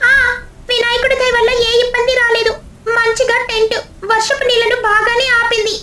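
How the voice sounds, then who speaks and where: A woman voicing a small, chatty, greedy girl sparrow for a children's cartoon story, in a squeaky childlike voice. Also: level tone, fairly quick, sharp tone. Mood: eager, chatty.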